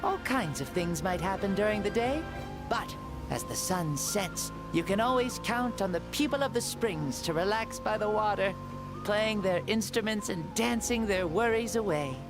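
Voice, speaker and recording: A woman playing a calm, dignified warrior ruler in a video game, speaking in a measured, composed way. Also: medium pitch, close to an everyday voice, low-quality recording. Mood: reflective. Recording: game audio under loud music.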